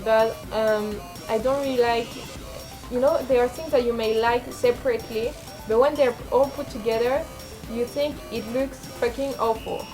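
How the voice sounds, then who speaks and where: A young woman with a low speaking voice, rambling and self-deprecating in a casual confessional vlog, full of fillers and restarts. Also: fairly even intonation, moderate pace, music in the background. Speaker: vlogger.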